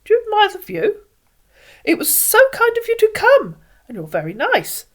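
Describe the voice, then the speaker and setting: A woman's voice: extremely high pitch, wildly swooping pitch, normal speed, exaggerated voice. A woman voicing a genteel, falsely sympathetic male walrus in a theatrical, coaxing poetry reading. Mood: smug. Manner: coaxing, theatrical, mock-sorrowful. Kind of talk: expressive storybook poetry reading.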